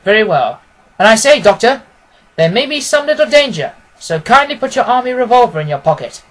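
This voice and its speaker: A man's voice: very high voice, very animated, brisk, fairly bright tone, voice pushed up, heavily acted, low-quality recording. A man voicing a keen, confident male detective in an audiobook, with an emphatic, decisive way of talking. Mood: urgent, decisive. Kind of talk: audiobook character dialogue.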